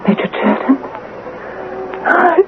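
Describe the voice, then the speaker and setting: A woman's voice: slow pace, music in the background, close to an everyday voice. An actress as a genteel young lady, tinny radio. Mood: tender, assured. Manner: genteel, dramatic, emphatic. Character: poised, genteel young colonel's daughter, romantic and strong-willed. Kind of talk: 1980 American radio drama acting. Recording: tinny, noisy old radio broadcast.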